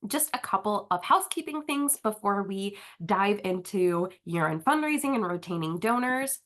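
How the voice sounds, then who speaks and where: A woman's voice: medium pitch, animated, moderate pace, fairly bright tone. A woman with a slight cold, a little sniffly, hosting and presenting an online webinar in a casual, friendly way with frequent fillers. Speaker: webinar host, marketing manager. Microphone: video call.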